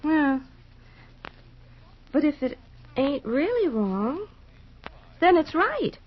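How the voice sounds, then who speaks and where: Nosy fretful young wife, high voice, whiny, folksy, insistent, muffled 1950s radio soap. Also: female voice, big pitch swings, extremely slow, exaggerated voice. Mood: exasperated. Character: fretful, nosy young married small-town woman.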